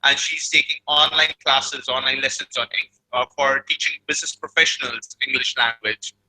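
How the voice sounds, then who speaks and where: A man's voice: mid-range voice, fairly even intonation, moderate pace, sharp tone, low-quality recording. A man hosting a webinar, introducing the topic and his guest formally, with frequent uh fillers. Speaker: webinar host.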